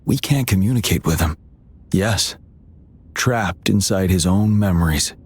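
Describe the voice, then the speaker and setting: A man playing a calm, solemn young general in a video game, speaking in a measured, grave, formal way. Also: low voice, husky, heavily acted. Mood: grave, composed. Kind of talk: scripted video game dialogue.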